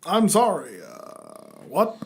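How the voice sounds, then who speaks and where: A man acts out an exasperated suburban villain dad in a comic reading. His voice sits high and swings widely, with a whiny, awkward protest to it. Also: huge pitch swings, slow, full character voice. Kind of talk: amateur comic dramatic reading.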